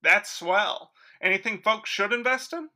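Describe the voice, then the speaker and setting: A woman playing a chipper, brisk radio show host in a parody of 1940s announcing. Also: medium pitch, bright, forceful tone. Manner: announcer-like, showy.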